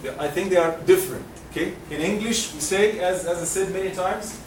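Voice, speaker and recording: A man from Egypt leads a classroom lesson, drilling sounds slowly so students can echo them. His voice sits a little high. He is recorded from a distance in a reverberant, noisy room. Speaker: Quran recitation teacher. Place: lecture classroom. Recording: roomy classroom, mic far off, noisy.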